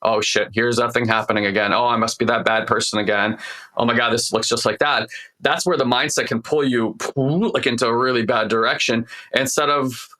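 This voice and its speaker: Hoarse, bright-toned man talking earnestly. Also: medium pitch, steady tone, a little fast. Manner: rambling, restarts often, earnest. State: voice partly lost, hoarse. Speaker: podcast co-host. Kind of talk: casual spiritual coaching talk.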